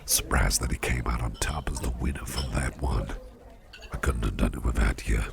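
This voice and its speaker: A jovial office boss at a party, played by a man in an ASMR roleplay, chummy and teasing. His voice is extremely low and heavily gravelly, drawn out slowly in soft half-whispers. A party crowd murmurs clearly behind him. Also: exaggerated voice. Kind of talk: ASMR roleplay, personal attention.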